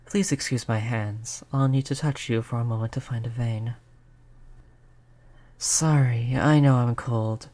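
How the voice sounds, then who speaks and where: A performer voicing a gentle, polite vampire in an intimate roleplay audio, speaking in a soothing, conversational way at a medium pitch. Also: lightly acted. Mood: gentle, reassuring. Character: gentle, reassuring vampire, eighty years old, eternally polite. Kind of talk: roleplay audio drama, intimate one-on-one talk to listener.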